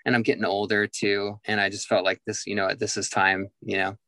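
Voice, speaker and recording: A man's voice: lowish voice, flat delivery, fairly quick, pushed and bright, no room sound. A man in his forties, a musician guest, talking candidly and reflectively in an interview, with many fillers and restarts. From Southern California. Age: 40s.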